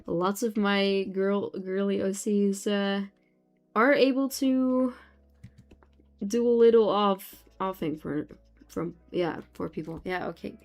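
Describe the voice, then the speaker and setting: A woman's voice: medium pitch, slow, darkish tone, soft background music. A young woman from the Netherlands with a Dutch accent, chatting casually with her stream while she draws, often laughing and trailing off mid-sentence. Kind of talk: casual chat with chat while drawing.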